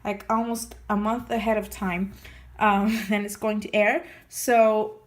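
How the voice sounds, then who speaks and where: A woman chatting casually in a vlog, her voice slightly hoarse as she gets over losing it, rambling with many restarts and fillers. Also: mid-range voice, flat delivery, unhurried. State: recovering from lost voice, slightly hoarse. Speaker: scrapbooking vlogger. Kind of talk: casual show-and-tell chat.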